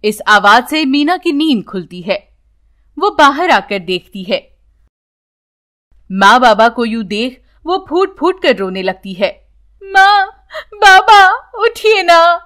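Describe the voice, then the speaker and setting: Female storyteller for scripted children's tales; high register, very lively intonation. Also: slow pace, pauses often.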